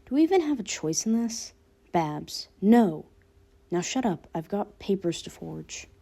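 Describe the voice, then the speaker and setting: Mellow, dark-toned woman reading a story. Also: medium pitch, big pitch swings, a little slow, creaky. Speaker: podfic reader. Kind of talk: scripted fan fiction narration with character dialogue.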